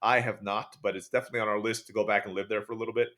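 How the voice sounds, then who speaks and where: A man with an American accent, guest on a podcast, telling jokey stories in a quick, casual way. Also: lowish voice, fairly even intonation, sharp tone. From Turkey. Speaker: guest, online school founder.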